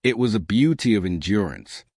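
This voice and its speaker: A man narrating a science fiction story in a measured, dramatic way with short pausing phrases. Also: on the low side, sing-song, darkish tone, slightly rough, no room sound, studio quality. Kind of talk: scripted dramatic sci-fi audiobook narration.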